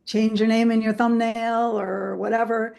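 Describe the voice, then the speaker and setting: A woman therapist hosting an online interview. She talks in a friendly, chatty way with frequent "um" pauses, over a video call. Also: a little high, level tone, vocal fry, darkish tone. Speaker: host and therapist. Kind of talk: casual webinar introduction.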